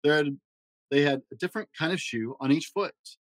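A man reading a children's fairy tale aloud in a gentle storytelling manner. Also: moderate pace, no room sound. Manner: gentle storytelling with varied pitch for emphasis. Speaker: storybook reader.

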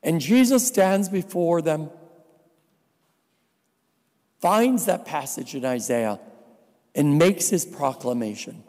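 A man from New Jersey preaching a sermon as a visiting priest, slow and deliberate with dry asides. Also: fairly high pitch, expressive, darkish tone. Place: church. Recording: reverberant church hall.

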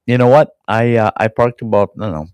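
A man hosting a college hockey podcast, hoarse and raspy from a cold, talking enthusiastically and rambling with fillers and restarts. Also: on the low side, fast talker, darkish tone, dry recording. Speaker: podcast host. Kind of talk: casual sports chat.

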